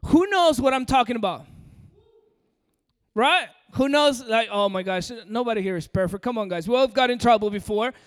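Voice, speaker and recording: A man's voice: extremely high pitch, lively intonation, normal speed. A man from Peru with an American-sounding accent, preaching a sermon and coaxing the audience directly. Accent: American-sounding English. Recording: long hall reverb.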